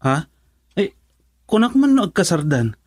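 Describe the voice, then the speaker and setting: A man's voice: high voice, sing-song, measured pace, a little mellow, husky, no echo, heavily acted. Curious man, dry close sound, acted. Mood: curious, hopeful. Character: curious man hoping to win a jilted woman. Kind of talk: conversational radio drama acting.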